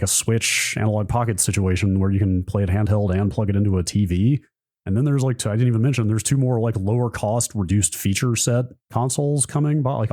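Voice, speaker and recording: A slightly hoarse man co-hosting a tech podcast, rambling with enthusiasm and lots of fillers. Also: on the low side, warm tone. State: a bit hoarse. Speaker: podcast co-host. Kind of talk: casual tech chat.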